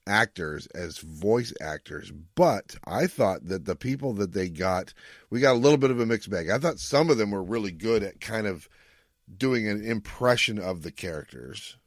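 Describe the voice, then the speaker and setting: Man, deep-leaning voice, casual podcast host, conversational, jokey, dry recording. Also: lively intonation, normal speed, dry recording. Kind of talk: casual chat.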